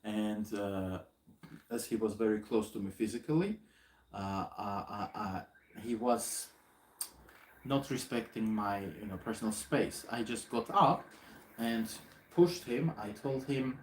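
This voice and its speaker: Armenian man retelling an attack, echoey room. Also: on the low side. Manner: halting, many uh fillers, animated retelling. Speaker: host. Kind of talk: live video chat. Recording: echoey room with faint noise.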